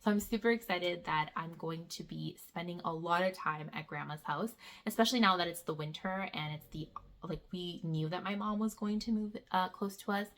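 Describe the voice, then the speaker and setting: A woman's voice: lowish voice, moderate pace, fairly bright tone. A woman in her thirties, a homeschool vlogger chatting casually to camera and calling her viewers "guys". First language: not Portuguese.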